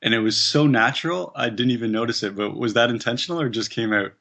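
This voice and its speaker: A man's voice: mid-range voice, fast talker, vocal fry, bright, forceful tone. A Canadian man talking as a guest on an interview podcast, upbeat and chatty, laughing easily. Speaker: podcast guest, personal trainer. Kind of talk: casual interview conversation.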